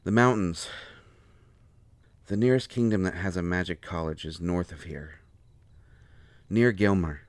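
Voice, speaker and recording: A man playing a proud, hurt, lovestruck healer in an intimate audio roleplay, speaking earnestly and haltingly with deep feeling. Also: dry recording. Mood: weary, explaining. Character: proud, wounded, lovelorn male healer with a limp.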